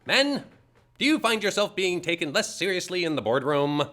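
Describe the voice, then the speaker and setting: A man playing an old-time radio serial announcer, narrating and pitching for the sponsor. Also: sing-song, normal speed, bright, forceful tone, heavily acted. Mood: dramatic, salesy. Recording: echoey live radio theatre. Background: music.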